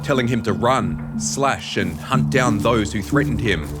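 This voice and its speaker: A man's voice: medium pitch, a little slow. Male dramatic story narrator over music. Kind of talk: dramatic scripted fiction narration.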